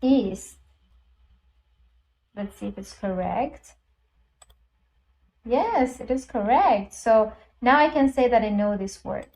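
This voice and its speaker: A woman's voice: a little high, animated, takes it slowly, long pauses, a little mellow. A woman whose first language is Persian, a language teacher casually walking viewers through an app step by step with many ums and uhs. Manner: chatty, explains step by step with frequent ums and uhs. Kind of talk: casual screen-recorded app walkthrough.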